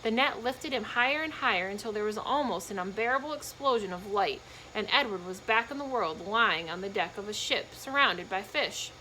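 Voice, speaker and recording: A woman's voice: mid-range voice, animated, moderate pace, few pauses, bright, forceful tone, slight background noise, low-quality recording. A woman reading a children's storybook aloud expressively, with casual explanatory asides to young listeners.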